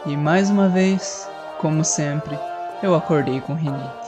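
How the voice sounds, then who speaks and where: A player narrating their child character's morning in the first person, brisk and comic. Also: medium pitch, loud music behind the voice. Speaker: tabletop player.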